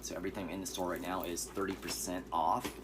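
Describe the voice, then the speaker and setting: A man with a very low, hoarse voice runs through a pile of cheap movie finds, easygoing and rambling, with fillers along the way. There is clear steady noise behind him. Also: fast. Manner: relaxed, rambling, with fillers and asides. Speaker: vlogger showing thrift finds. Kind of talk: casual vlog.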